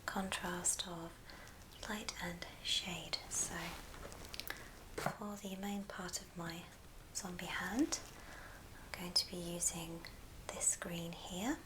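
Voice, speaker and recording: A woman whispering a gentle, step-by-step ASMR craft tutorial in a soft, breathy voice. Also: lowish voice. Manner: unhurried, gentle step-by-step instruction. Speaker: ASMR host demonstrating a craft. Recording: clear background hiss and clicks.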